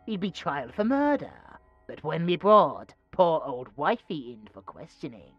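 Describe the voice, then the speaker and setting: A man's voice: on the high side, sing-song, no echo, muffled recording, faint music behind, heavily acted. A man as a smug, swooping British mad scientist. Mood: mock regretful. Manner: mocking, snobbish, drawn-out musing. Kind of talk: horror audio roleplay acting.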